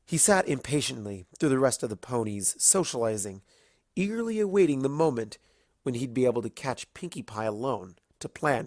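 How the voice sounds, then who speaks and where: A narrator reading scripted fan fiction as an animated storyteller, with dramatic pauses. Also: mid-range voice, dry recording, studio quality.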